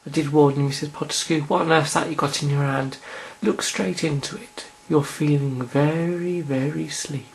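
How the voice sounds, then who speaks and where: A performer voicing a bold, theatrical man who speaks quickly and forcefully. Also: medium pitch, fairly even intonation, gravelly, natural voice. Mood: firm. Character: brisk, bold man, the Doctor.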